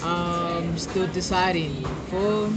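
A woman's voice: lowish voice, fairly even intonation, slow. A young woman from South Africa who grew up in Zimbabwe, chatting casually as an interview guest, laughing often and tagging "hey" onto her sentences. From born in South Africa, grew up in Zimbabwe. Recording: busy crowd noise behind.

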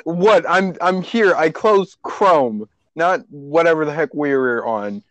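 A man's voice: fairly high pitch, expressive, slow, a bit bright, no echo, low-quality recording. A man in a casual online group chat who sounds exasperated and tired, keeps trying to get everyone back on track, and often sighs "Oh, Jesus.". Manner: exasperated, weary, tries to steer the talk. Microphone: computer mic on a voice call.

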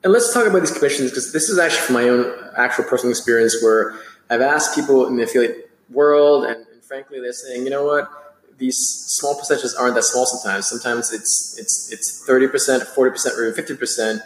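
A man's voice: medium pitch, quick pace, a little hiss or hum. A man hosting a podcast, enthusiastic and asking long, winding questions. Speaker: podcast host. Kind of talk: podcast interview. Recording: roomy echo.

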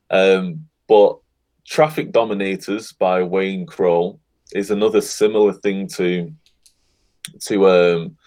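A man being interviewed as a guest on a podcast, talking in a relaxed, encouraging way. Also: deep voice. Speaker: guest, affiliate marketer. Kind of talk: casual interview chat.

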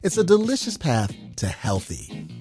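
A man's voice: fairly high pitch, huge pitch swings, moderate pace, mellow, faint music behind. A man reading scripted radio adverts and show announcements as an announcer.

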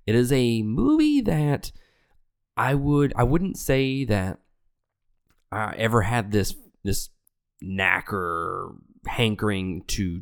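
A man's voice: low-pitched, clean tone. A man hosting a movie review podcast in a loose, rambling way, sounding nasal and congested from an illness. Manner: rambling, self-correcting, frequent restarts; loose, profane, conversational. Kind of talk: casual chat.

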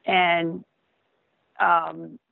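A young woman in her twenties talks in a slightly low, hesitant voice, full of ums and soft laughs. Her voice comes through a narrow, tinny phone-like line with a dry, close sound. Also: level tone, extremely slow, gravelly, dry recording. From Venezuela. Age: 20s. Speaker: interviewee. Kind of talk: casual interview answers.

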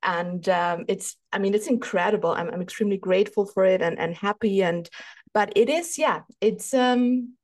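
A woman's voice: medium pitch, moderate pace, a little mellow. German woman therapist, non-native english, hesitant, lots of ums. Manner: thoughtful, hesitant with many fillers. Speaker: holistic health therapist and coach. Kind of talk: podcast interview.

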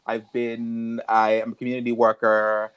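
A man in his twenties from the Caribbean, a podcast guest, expressive and flamboyant, laughing often. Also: mid-range voice, moderate pace, clear, resonant tone, no echo. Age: 20s. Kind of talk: casual interview.

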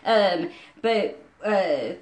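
A woman's voice: medium pitch, lively intonation, extremely slow, darkish tone, reverberant. A woman co-hosting a podcast in casual, playful banter, rambling from one tangent to another. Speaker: podcast co-host.

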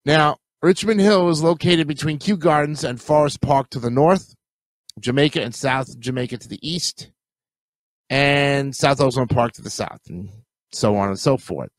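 A man talks through a casual solo podcast, his voice stuffy and nasal from a cold. He rambles in a chatty way, correcting himself mid-sentence, at a middle pitch. The recording is close and dry, with no room sound. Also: normal speed, no echo. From Queens, New York. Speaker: podcast host.

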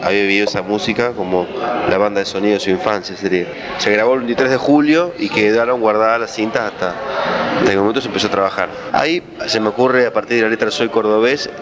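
A man's voice: on the low side, fairly even intonation, quick pace, crowd noise. A man giving an interview, explaining his thoughts in a conversational way as he recalls them. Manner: explanatory, conversational, thinking aloud. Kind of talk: reflective interview recollection.

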